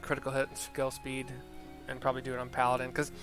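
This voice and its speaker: Bright-voiced man narrating gameplay over music. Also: medium pitch, monotone, fast. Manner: mutters asides and spells out names. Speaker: video game player narrating a playthrough. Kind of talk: casual gameplay commentary with read-aloud narration. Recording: music behind the voice.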